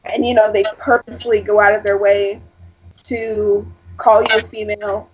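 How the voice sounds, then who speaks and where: A woman with a husky, fairly low voice chatting casually, rambling through personal stories with lots of fillers. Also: flat delivery, normal speed, background noise. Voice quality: husky, lower than average for a woman. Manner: rambling, storytelling with frequent fillers. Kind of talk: casual chat. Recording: lo-fi dull sound.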